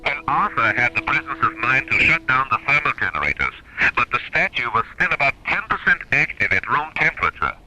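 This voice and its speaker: Male moon-base scientist: serious, crisp, uneasy, medium pitch, piercingly bright radio-drama delivery. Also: big pitch swings, brisk, faint music behind, rough recording.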